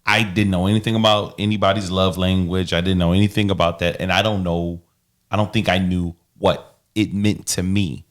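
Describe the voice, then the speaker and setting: A man with a low voice, chatting loosely on a relationship podcast. He is animated and playful and keeps breaking into little mock quotes. The talk rambles along with a minister's ease. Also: normal speed. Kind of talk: casual relationship chat.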